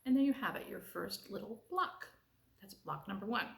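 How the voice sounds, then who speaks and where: A chatty, upbeat woman talks through a crochet tutorial, her voice a bit sniffly and stuffy. Her pitch sits in an ordinary middle range. The room around her is noticeably echoey. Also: expressive, normal speed, vocal fry, a bit bright. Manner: chatty, enthusiastic, friendly.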